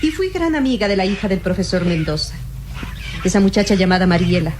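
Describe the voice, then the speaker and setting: A woman's voice: mid-range voice, animated, fairly quick, darkish tone, close to an everyday voice. A young Latin American villainess voiced by a woman speaks in a mid-range voice with theatrical self-assurance. She is cunning and coolly ruthless, in the manner of a radio serial. Some noise sits on the old recording. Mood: confiding. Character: cunning young female impostor, coolly ruthless treasure seeker.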